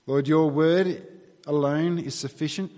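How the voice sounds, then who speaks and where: An Australian man delivering a sermon, moving between earnest prayer and relaxed storytelling with dry, wry humour. His voice sits a little high for a man. The sound carries the long reverb of a church hall. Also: measured pace. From New South Wales, Australia. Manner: earnest, conversational, wry asides. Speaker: pastor.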